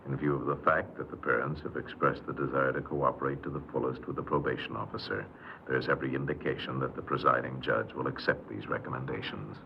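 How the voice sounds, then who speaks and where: A very deep, stern official. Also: male voice, steady tone, quick pace, vocal fry, few pauses. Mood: impassive, official. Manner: terse, formal, matter-of-fact. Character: stern, impassive official interrogator reading case findings. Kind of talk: 1950s radio docudrama narration. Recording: dull old radio recording, faint noise.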